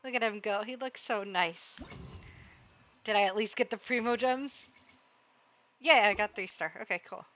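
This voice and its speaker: A woman's voice: medium pitch, moderate pace, pauses often, lo-fi sound. A young woman chatting casually and expressively to herself as she streams a video game. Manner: expressive, self-talking, playful. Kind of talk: casual live gameplay commentary.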